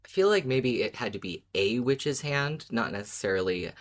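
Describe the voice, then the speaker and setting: Wry, casual podcast panelist, medium pitch. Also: animated, normal speed. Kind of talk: casual chat discussion.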